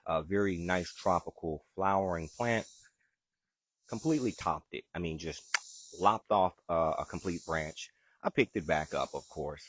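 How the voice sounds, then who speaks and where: A man's voice: low voice, normal speed, a bit bright, no room sound. A man with a Southern accent, hosting a live gardening chat outdoors in his backyard and answering viewer questions in an easygoing, chatty way. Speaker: gardening show host.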